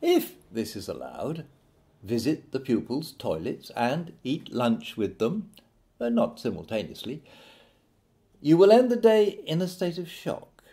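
A British man narrates a satirical audiobook in a mellow, unhurried voice. His pitch swings widely as he leans on key words and leaves deliberate pauses. The delivery is wry and theatrical. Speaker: author reading own book.

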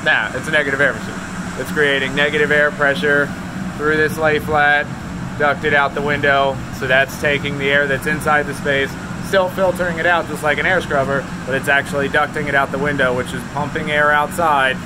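A man's voice: fairly high pitch, flat delivery, moderate pace. A young man in his twenties, an operations manager, walking through a basement job on a handheld phone, explaining with many fillers. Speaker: operations manager of a remediation company. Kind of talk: casual work walkthrough vlog. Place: basement under renovation, indoors. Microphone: phone held at arm's length. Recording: loud machine noise in basement. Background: loud air scrubber machine hum.

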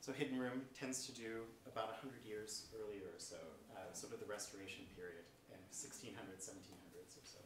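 Man, lowish voice, halting informal explainer, panel moderator, echoey noisy theater. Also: fairly even intonation, recorded from a distance. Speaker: moderator and scholar on stage. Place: theater stage with seated audience. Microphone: picked up from far off.

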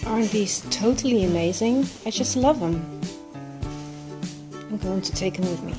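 A woman's voice: mid-range voice, moderate pace. A middle-aged woman with a Dutch accent, chatting casually and a little hesitantly to a camera as a vlog host. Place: inside a car, later in a thrift shop. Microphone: camera on dashboard or at arm's length. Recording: loud background music over vlog audio. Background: music.

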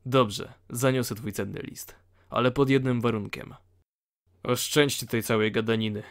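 A man's voice: medium pitch, steady tone, normal speed, close to an everyday voice. A man playing blunt, dryly sardonic convicts of a penal colony in a fantasy audio drama. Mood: defiant.

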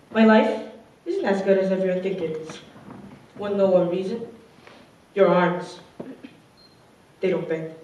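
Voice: medium pitch, darkish tone, lightly acted. A performer playing a vain, overconfident fashion doll woman, boastful and gushing, in a comic stage monologue. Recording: echoey room, distant mic, faint noise.

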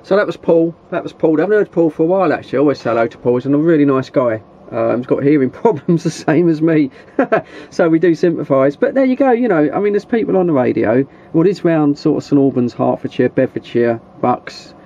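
A man's voice: fairly high pitch, lively intonation, fast, hardly any pauses, warm tone. A man with a southern English accent chatting casually in a chummy, rambling way, as a hobbyist vlogger. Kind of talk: casual vlog chat. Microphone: handheld camera. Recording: dull, noisy handheld camera audio.

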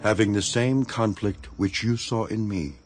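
An actor playing an earnest, devoted imprisoned apostle in a dramatized scripture reading, speaking in a solemn, measured manner. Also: male voice, fairly low pitch, faint music behind, close to an everyday voice. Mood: solemn exhortation. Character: Apostle Paul, imprisoned, earnest, devoted elder writing to his flock.

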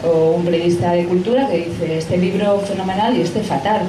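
A woman's voice: fairly low pitch, steady tone, a little fast, distant mic. A woman with a Spain Spanish accent making a comment and putting a question from the audience at a live talk. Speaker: audience questioner, science journalist. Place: pub event room, indoors. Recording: echoey room from afar, clear background noise.

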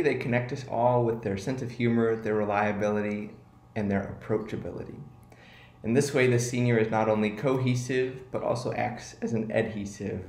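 A man's voice: fairly low pitch, darkish tone. A man in his twenties gives a prepared award tribute to a camera a few feet away at home. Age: 20s. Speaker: award presenter. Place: living room at home. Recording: echoey room.

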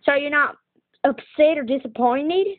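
A proud young cowgirl pony from a cartoon acted by a woman, high-voiced and plain-spoken. She talks in a folksy, earnest way. The recording is thin and tinny, like playback filmed off a screen. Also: measured pace. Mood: ashamed. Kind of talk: cartoon fandub acting.

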